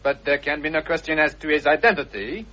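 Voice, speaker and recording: A man's voice: on the high side, fairly quick, bright, forceful tone. An actor playing a theatrical, dapper male detective, speaking in a grand, declamatory way, from a dull old radio drama recording. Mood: grandiose. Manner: grand, declamatory, savoring the reveal. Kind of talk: 1950s radio drama acting.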